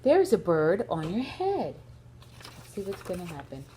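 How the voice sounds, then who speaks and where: A woman's voice: on the low side, huge pitch swings, measured pace, warm tone. A woman reading picture books aloud to young children, adding chatty asides as she goes. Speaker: storybook reader for young children. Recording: clear background noise.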